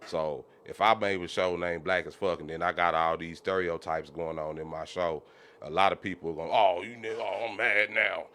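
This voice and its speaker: A man's voice: low-pitched, steady tone, normal speed, pushed and bright, a little hiss or hum. An American man on a podcast panel, arguing his opinion in an insistent, colloquial way and repeating his points. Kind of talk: casual opinionated debate.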